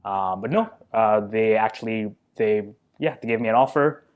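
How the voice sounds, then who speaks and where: A man's voice: lowish voice, normal speed, clean tone. Podcast guest, male, thirties, easygoing, humble, thinks aloud. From India. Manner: thoughtful, self-deprecating, filler-heavy. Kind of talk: casual interview conversation.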